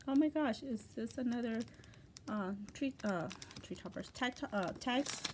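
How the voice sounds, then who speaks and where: A woman hosting a craft video, gushing and chatty over handmade tags, her voice going hoarse with coughing. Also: fairly high pitch, a little slow, background noise. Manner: gushing, chatty, restarts often. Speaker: crafting channel host. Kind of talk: casual craft mail unboxing.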